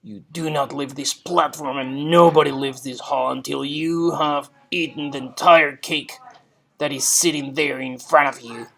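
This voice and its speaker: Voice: mid-range voice, steady tone, a little slow, gravelly, poor audio. A reader voicing a furious, bullying older headmistress from a children's storybook, with a harsh, commanding, menacing delivery. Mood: furious, yelling. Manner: commanding, menacing, shouted emphasis.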